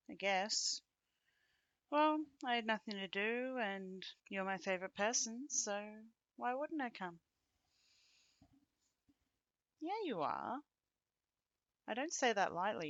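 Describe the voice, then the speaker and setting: Woman acting as affectionate female companion, ASMR roleplay, bright crisp voice, unhurried, casual gentle teasing, very dry close sound. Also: mid-range voice, no echo, muffled recording. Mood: playful, casual.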